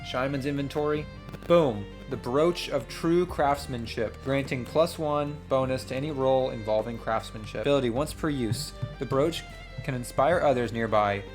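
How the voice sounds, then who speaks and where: Casting: male gaming host, average pitch, excitable, reads story text and comments off the cuff, music underneath. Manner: excitable, thinks aloud in slangy asides. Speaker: player and host of a gaming channel. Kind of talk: tabletop game play, reading narration aloud and commenting.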